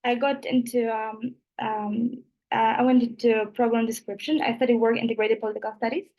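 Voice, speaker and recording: A woman's voice: on the high side, flat delivery, a little fast, a little mellow, low-quality recording. A woman in her twenties with a Polish accent, giving an informal student presentation on an online call in non-native English. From Poland. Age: 20s. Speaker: student representative. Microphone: video call.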